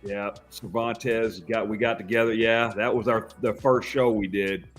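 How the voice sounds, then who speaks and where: An older man who works as a Santa, a guest on a podcast, talking in a loud booming voice with frequent restarts. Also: fairly even intonation, a little slow, soft background music. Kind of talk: casual podcast chat.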